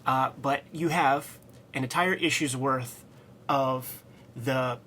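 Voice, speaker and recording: A man's voice: medium pitch, fairly even intonation, takes it slowly, pushed and bright, slight background noise. A man co-hosting a casual comics discussion, enthusiastic and slangy, leaning hard on his points. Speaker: co-host.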